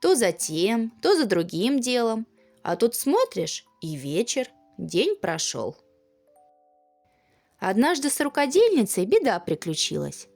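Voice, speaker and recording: Female storyteller for children's audio tales, expressive and folksy, lively intonation, soft music underneath. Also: on the high side, a little slow, gravelly. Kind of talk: children's fairy-tale narration.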